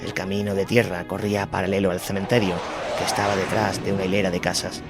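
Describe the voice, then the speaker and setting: A man's voice: low voice, level tone, quick pace. A man narrating a scripted documentary account. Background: music under the voice.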